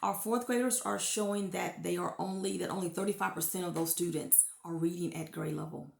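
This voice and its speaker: A woman in her thirties talks to parents like a teacher, earnest and encouraging, and her pitch jumps up to push key points. Her voice has a gravelly edge, and the room adds a noticeable echo. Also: fairly even intonation, fairly quick. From United States. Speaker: former classroom teacher giving parenting tips. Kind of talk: informal teaching talk to parents.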